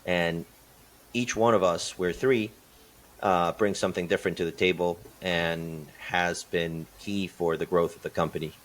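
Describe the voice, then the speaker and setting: A man from Peru, answering interview questions as a podcast guest in a thoughtful way, pausing and restarting often. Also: low-pitched, steady tone, a bit bright. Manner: thoughtful, many fillers and restarts. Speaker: podcast guest, construction company partner.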